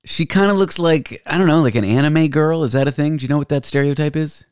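A man's voice: fairly high pitch, quick pace, darkish tone, smooth, no room sound, studio quality. A man hosting a podcast in casual chat, playful and jokey, recorded over a remote call. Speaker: podcast host.

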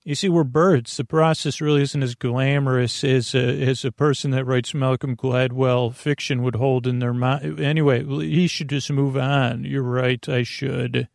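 A man with a creaky, gravelly voice delivers a meandering monologue as a sleep podcast host. He drifts into tangents and breaks off his own sentences in a halting way. The recording is dry and close, with very little room sound. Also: medium pitch, steady tone, a little mellow, dry recording. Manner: meandering, halting, full of tangents and self-interruptions.

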